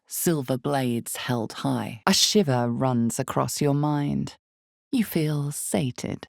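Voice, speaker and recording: A woman performing as an ominous storyteller in a dark fantasy game, narrating in a deliberate, suspenseful, theatrical way with breathy drops toward a whisper. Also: rumbling low, no room sound, clean studio sound, full character voice. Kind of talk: dramatic second-person fantasy game narration.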